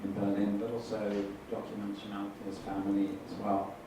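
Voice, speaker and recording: A man's voice: on the low side, completely flat delivery, brisk. Man speaking from far off in an echoey hall. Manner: hesitant, many fillers and restarts. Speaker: film director. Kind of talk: festival Q&A answer. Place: cinema hall. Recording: echoey hall, distant mic, noisy.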